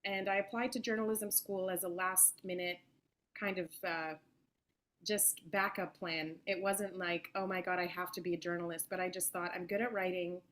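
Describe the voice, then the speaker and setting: A woman in her thirties, a journalist, giving a thoughtful and articulate account of her path into her work, with soft fillers. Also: lowish voice, fairly even intonation, normal speed. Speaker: guest journalist. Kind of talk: reflective interview answers.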